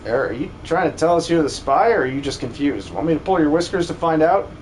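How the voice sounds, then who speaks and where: A man voicing a gruff, irritable soldier who has no patience, in a video game fan dub. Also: a little high, animated, a little fast, a little mellow, a little hiss or hum, natural voice, poor audio. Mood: irritated. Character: gruff, irritable soldier sick of spy rumours.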